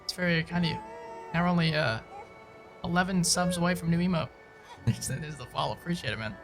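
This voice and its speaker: A man's voice: fairly high pitch, a little fast. A man giving casual livestream commentary on a game, offhand and laid-back, in short reactions. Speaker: game streamer. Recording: game music behind the voice. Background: game soundtrack music.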